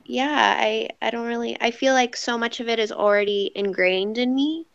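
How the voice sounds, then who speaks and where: A woman's voice: fairly high pitch, moderate pace, gravelly, smooth, no room sound, highs cut off. A young American woman speaking reflectively in a casual group discussion, often saying "like". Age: young adult. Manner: reflective, frequent "like". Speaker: youth panel participant.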